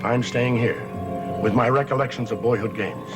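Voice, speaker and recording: An older man acting a cryptic, haunted grandfather in a gothic television soap, speaking in a theatrical, measured, portentous way. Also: mid-range voice, music in the background, close to an everyday voice. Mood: grave, brooding.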